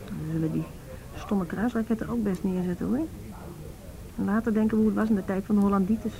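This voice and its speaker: A woman's voice: mid-range voice, fairly even intonation, fast, creaky, crowd noise, close to an everyday voice, rough recording. A young woman acting in a radio play as a cynical, argumentative student activist, in naturalistic dialogue. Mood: gloomy, cynical.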